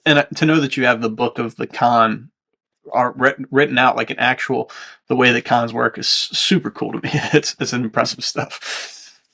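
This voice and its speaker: A man co-hosting a podcast in casual chat, haltingly thinking aloud. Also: mid-range voice, steady tone, vocal fry, pushed and bright, slightly rough. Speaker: podcast co-host.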